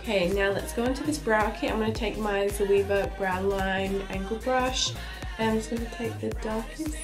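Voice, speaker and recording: A woman's voice: medium pitch, music in the background. A young woman with a slightly stuffy voice from a cold, chatting casually through a makeup review in a small room. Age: young adult. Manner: chatty, unhurried, trailing off mid-sentence. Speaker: beauty vlogger. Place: small indoor room, plain wall.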